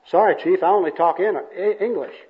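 A wary skid row bum, acted by a man in an amateur skit, baffled and sardonic. He talks in slangy, puzzled phrases at a raised pitch. The sound is dull and lo-fi. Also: fairly quick, natural voice. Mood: wry, wary. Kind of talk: amateur dramatic reading of a comic skit.

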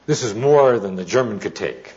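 A man giving an informal sermon with anecdotes, telling them deliberately with pauses and dry humour. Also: on the low side, expressive, a little mellow. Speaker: preacher, Bible teacher. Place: speaking live to an audience. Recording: dull, muffled lo-fi recording.

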